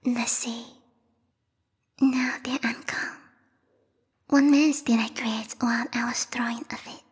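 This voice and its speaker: A woman voicing a bloodthirsty, refined bat girl royal guard in a whispered ASMR roleplay, prim and courtly with quiet menace. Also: fairly high pitch, steady tone, takes it slowly, in a large hall, exaggerated voice. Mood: prim, mock-apologetic. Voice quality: breathy, whispery. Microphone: close binaural microphone.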